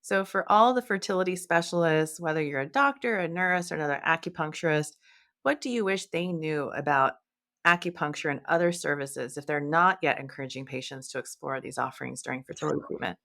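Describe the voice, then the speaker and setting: A woman's voice: deep voice, brisk, fairly bright tone. A woman hosting an interview, asking poised, prepared questions. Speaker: host.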